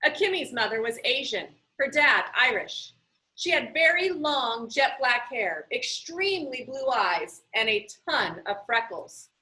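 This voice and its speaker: A woman reading a children's book aloud in an expressive storytelling style, animated and emphatic. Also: fairly high pitch, measured pace, rough recording.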